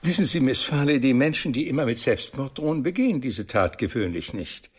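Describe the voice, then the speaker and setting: An actor playing an educated, matter-of-fact doctor who explains things thoughtfully in a radio crime drama. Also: male voice, mid-range voice, animated, a little fast, breathy edge.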